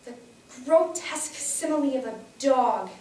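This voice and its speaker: A woman performs a young reporter shaken by what they saw, in a stage monologue. The high voice recounts events with grim, dramatic weight. The recording is distant and full of room echo. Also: expressive, a little slow, a little mellow. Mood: grim, horrified. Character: young news reporter, shaken horrified eyewitness. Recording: echoey room, distant mic.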